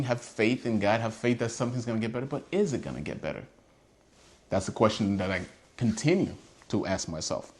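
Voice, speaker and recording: A man from Haiti hosting his own channel, talking earnestly and passionately to camera and asking pointed rhetorical questions. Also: on the low side. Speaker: host. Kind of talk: earnest personal monologue to camera.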